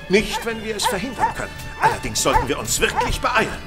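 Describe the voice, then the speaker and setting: An older actor playing a composed, courteous Victorian detective, precise and authoritative, in a German audio drama. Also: male voice, high-pitched, wildly swooping pitch, fairly quick, loud music behind the voice. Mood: urgent, commanding.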